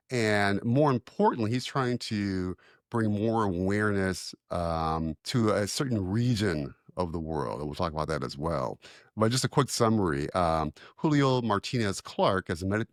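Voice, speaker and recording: A man's voice: low-pitched, dry recording, clean studio sound. A man hosting a podcast, introducing his guest in a friendly, rambling way with repeated words. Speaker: podcast co-host. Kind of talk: podcast interview.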